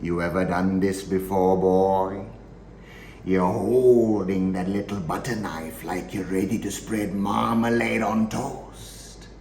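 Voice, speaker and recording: A man performing a theatrical monologue as a chillingly matter-of-fact, darkly amused killer, with deliberate dramatic pauses and shifts between hushed and forceful delivery. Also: deep voice, steady tone. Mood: intense, forceful. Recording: roomy echo, faint background noise.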